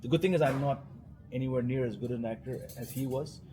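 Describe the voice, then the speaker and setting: A man with an Indian accent talks easily as an interview guest, wry and chatty, often restarting his sentences. His voice sits at an ordinary middle pitch. A clear hum of background noise runs under the recording. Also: quick pace, warm tone, poor audio. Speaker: cricket journalist, guest. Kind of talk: casual interview chat.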